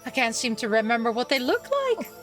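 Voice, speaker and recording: A woman's voice: a little high, very animated, brisk, faint music behind. A woman voicing an optimistic, careless young female roommate in an emotive way for a visual novel. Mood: distressed. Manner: emotive, scatterbrained.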